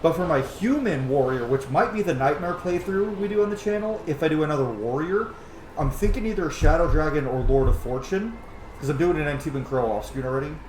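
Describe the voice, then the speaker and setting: A man's voice: a little high, animated, fairly quick, darkish tone. Man casually commentating gameplay in echoey room. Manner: casual, profane. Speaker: gaming video host. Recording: roomy echo, clear background noise.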